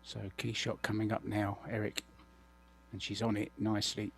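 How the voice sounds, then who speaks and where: A man giving measured, understated live commentary on a billiards match, his voice slightly croaky. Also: on the low side, monotone, fairly bright tone. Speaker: sports commentator. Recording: broadcast with clear background hum.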